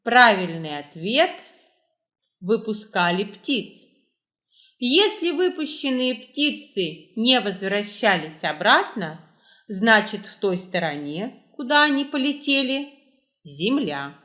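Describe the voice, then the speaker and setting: Female quiz narrator: bright tone, animated intonation, unhurried and deliberate scripted reading. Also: mid-range voice. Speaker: quiz host, librarian.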